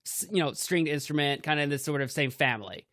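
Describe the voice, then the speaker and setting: A young man co-hosting a podcast in casual chat, with a higher-pitched voice, laughing often and leaning on "like" fillers. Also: quick pace, fairly bright tone, dry recording, studio quality. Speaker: podcast co-host. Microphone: home mic over voice chat.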